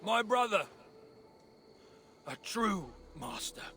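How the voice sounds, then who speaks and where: An actor playing an earnest, halting dwarf in mourning in a video game. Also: male voice, high voice, very animated, vocal fry, sharp tone, faint music behind, full character voice. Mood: grieving. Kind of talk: video game cutscene acting.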